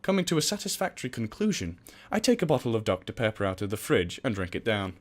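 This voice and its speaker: A man's voice: on the low side, very animated, fast talker. A young man casually reading a visual novel aloud for a let's play. He jokes, swears and mocks himself as he stumbles over words.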